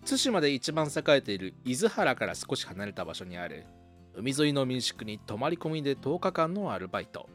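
A man's voice: mid-range voice, very animated, brisk, soft background music, close to an everyday voice. A man playing an upbeat young university student who narrates and chats in an amateur radio drama. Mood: reflective narration. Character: upbeat young male university student recounting summer memories.

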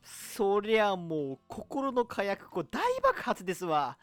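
A man puts on a pompous, girl-crazy young guy in a comic radio drama. He boasts theatrically, with big pitch swings. It is a dry recording with light music underneath. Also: sing-song, takes it slowly, a bit bright, no room sound, full character voice. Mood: smug, boastful. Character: smug, girl-crazy young man lording over indebted friends.

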